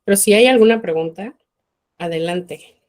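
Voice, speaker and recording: A woman's voice: medium pitch, normal speed, darkish tone. A woman giving an informal university law talk, conversational and full of fillers. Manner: conversational, many fillers like "este". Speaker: law lecturer.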